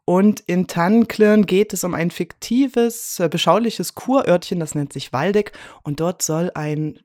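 Female director guest, middle-aged, low voice, conversational delivery, dry close recording. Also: unhurried, darkish tone, dry recording. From Leipzig, Germany. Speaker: radio drama director, interview guest. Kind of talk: casual interview conversation. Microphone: close mic in a remote online call.

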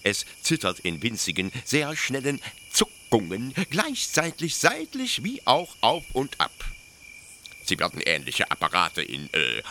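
An actor playing a proud, defensive young officer-judge in a radio play, explaining pedantically with hesitant, stammered pauses. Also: male voice, a little high, pushed and bright, a little hiss or hum, heavily acted. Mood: pedantic, eager. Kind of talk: German literary radio play acting.

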